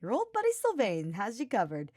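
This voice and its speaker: A woman's voice: mid-range voice, wildly swooping pitch, brisk, a little mellow, no room sound, clean studio sound, pitched above the performer's own voice. A woman voicing a cheerful, teasing young male knight with a breezy, casual manner, as in an audiobook story. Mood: eager, confident.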